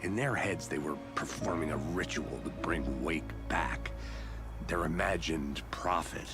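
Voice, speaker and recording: A man's voice: extremely low pitch, a little slow, over music. An actor playing a weary male detective in a video game, speaking in a grave, confessional way. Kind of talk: game voice acting.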